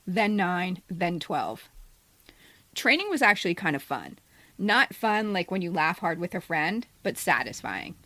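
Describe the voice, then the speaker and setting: A woman's voice: fairly low pitch, fairly bright tone, no echo. A woman in her fifties reading a scripted personal essay as a podcast co-host, with a candid, wry, self-deprecating manner. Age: 50s.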